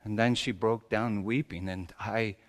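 A man playing Joseph, a wry, bewildered carpenter, in a halting, colloquial and theatrically emotional dramatic monologue. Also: lowish voice, steady tone, voice pushed down. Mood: hurt, subdued. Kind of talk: dramatic comic monologue in a sermon. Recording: echoey hall with long reverb.

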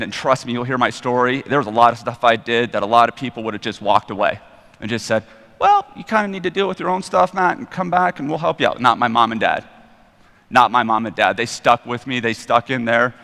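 A man's voice: medium pitch, a little fast. A man of forty with an American accent, giving an informal, self-deprecating personal testimony as a guest preacher in a large hall. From Colorado, United States. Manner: casual, self-deprecating, animated retelling of dialogue. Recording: reverberant hall.

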